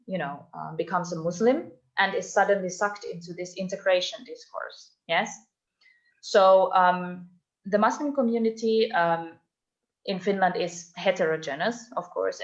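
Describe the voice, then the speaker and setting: A woman giving an online talk about her research, thoughtful and full of ums and restarts, heard over a video call. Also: fairly low pitch. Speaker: invited speaker, doctoral researcher. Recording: dull lo-fi online call audio.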